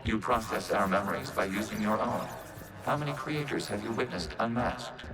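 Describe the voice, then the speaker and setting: A man voicing a calm, logical robot in a video game, speaking formally and precisely through a synthetic filter. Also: fairly low pitch. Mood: matter-of-fact. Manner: measured, formal, precise, clinical. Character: calm, logical male robot of a networked AI race. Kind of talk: video game character acting.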